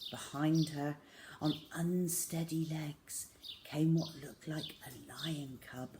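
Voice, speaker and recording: A woman reading a children's story aloud in a measured, expressive, dramatic way with hushed emphasis. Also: low voice, noisy background.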